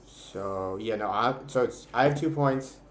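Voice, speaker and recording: A man hosts a casual livestream game with a partner, bantering and teasing with loose, sweary phrasing. The voice echoes around the room, and background noise is clearly audible. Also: on the low side, a little slow. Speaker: streamer hosting a couples trivia game with partner.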